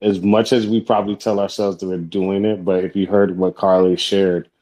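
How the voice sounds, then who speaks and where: A man with a deep voice, co-hosting a casual support group chat in an affirming, easygoing way. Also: little pitch movement, moderate pace, mellow. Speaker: co-host.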